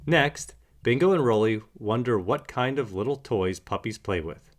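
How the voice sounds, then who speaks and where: Animated man reading a children's storybook. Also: medium pitch, moderate pace. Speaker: storybook narrator. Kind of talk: animated children's read-aloud narration.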